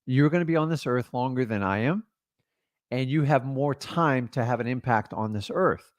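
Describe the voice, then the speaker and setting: A man's voice: medium pitch, moderate pace, dry recording, clean studio sound. A middle-aged man coaching on real estate deals, talking in an emphatic, motivational, direct way. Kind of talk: energetic coaching talk.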